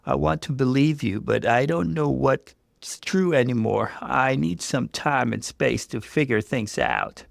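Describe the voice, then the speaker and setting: A wounded, suspicious young fiancée from a narrated relationship story, acted out by a man. The pitch is lifted above a plain reading voice and the questions are pressed and pleading. The sound is dry and close. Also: very animated, measured pace, gravelly, no room sound, full character voice. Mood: tearful, resigned.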